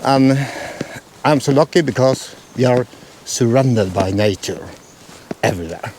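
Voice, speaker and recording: A man's voice: mid-range voice, expressive, measured pace, background noise. A man from Norway speaking English with a Norwegian accent, explaining photo planning in a casual vlog, hesitant with many fillers and restarts. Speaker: photography vlogger.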